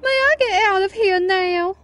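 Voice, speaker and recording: A woman's voice: very high-pitched, measured pace, fairly bright tone, no echo, soft background music. A woman voicing a stern, impatient artist pony in an audio drama. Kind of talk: audio drama character acting.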